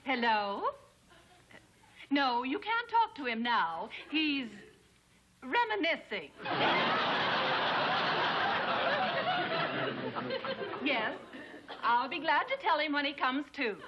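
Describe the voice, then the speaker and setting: A woman's voice: high voice, sing-song, slow pace, long pauses, muffled recording, exaggerated voice. A woman playing a polite, efficient secretary in a vintage TV sitcom. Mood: dryly helpful. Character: polite, efficient female secretary screening auditions. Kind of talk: 1950s TV sitcom comedy acting. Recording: noisy vintage TV soundtrack.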